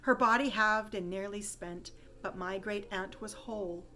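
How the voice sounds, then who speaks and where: A woman reading a rhyming holiday story poem aloud with expressive, dramatic storytelling emphasis. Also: medium pitch, measured pace, a little hiss or hum. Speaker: poem reader.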